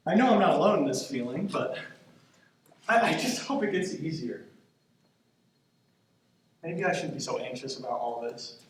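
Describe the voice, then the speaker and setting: A man in his twenties playing an anxious grandson in a stage monologue, talking in a halting, conversational, self-deprecating way. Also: mid-range voice, animated, natural voice. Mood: earnest, affectionate. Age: 20s. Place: stage in a theater, recorded from the audience. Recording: echoey theater, distant mic, faint noise.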